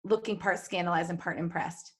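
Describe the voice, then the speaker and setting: A woman's voice: lowish voice, steady tone, brisk, slightly rough. A woman co-hosting a podcast, reading fan fiction aloud in a giggly, jokey way and breaking off for casual asides. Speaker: podcast co-host.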